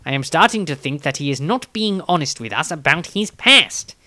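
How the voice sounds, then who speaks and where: A man's voice: fairly high pitch, lively intonation, moderate pace, sharp tone, no echo. A man voicing a conceited male scholar of magic, pompously reading from his storybook diary. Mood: suspicious, self-important.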